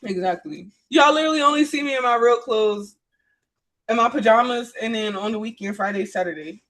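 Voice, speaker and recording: A woman's voice: a little high, brisk, fairly bright tone, poor audio. A woman in her twenties telling stories casually and candidly as an interview guest, emphatic and slangy, repeating phrases for emphasis. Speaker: interview guest, trade program graduate.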